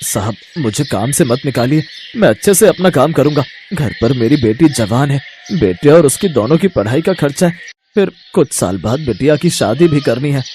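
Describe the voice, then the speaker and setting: A man's voice: mid-range voice, expressive, moderate pace, dark tone, a little hiss or hum, lightly acted. Man acting pleading, sorrowful poor father. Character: helpless, poor, lame labourer father.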